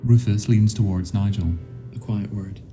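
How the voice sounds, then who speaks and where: A male narrator delivers scripted radio drama narration in a low voice. His manner is formal and measured, with a clear music score underneath. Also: steady tone.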